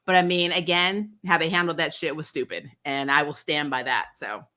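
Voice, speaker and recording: A woman's voice: sits low, fairly even intonation, normal speed, pushed and bright. A woman hosting a TV recap show in casual, opinionated chat, blunt and emphatic with frequent swearing.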